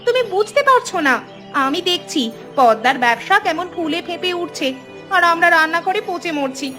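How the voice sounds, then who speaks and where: A woman's voice: very high voice, lively intonation, moderate pace, pushed and bright, soft background music, exaggerated voice. A woman voicing an outspoken, hardworking young village woman in a cartoon, earnest and emphatic. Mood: indignant, resentful. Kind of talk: cartoon dialogue.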